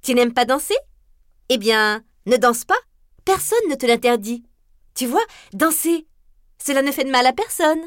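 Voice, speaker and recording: A woman's voice: high-pitched, moderate pace, no echo, clean studio sound, voice pushed up. Esmeralda, bold young dancer, voiced by a woman. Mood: defiant. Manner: lively, cheeky and defiant.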